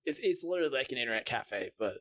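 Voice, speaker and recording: A man's voice: medium pitch, expressive, racing, a bit bright. A man co-hosting a podcast, telling casual anecdotes and acting out bits of dialogue. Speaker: podcast co-host.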